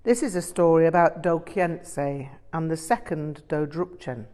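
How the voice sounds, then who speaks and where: A woman reading the part of an older male Tibetan Buddhist master in an audiobook, telling stories in a deliberate, emphatic way. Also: low voice, lively intonation, warm tone. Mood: didactic, blunt.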